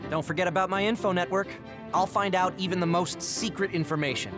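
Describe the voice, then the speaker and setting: Man voicing breezy young informant, lightly pitched. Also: fairly even intonation, fairly quick, lightly acted. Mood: upbeat. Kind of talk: video game cutscene acting. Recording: faint music behind the voice.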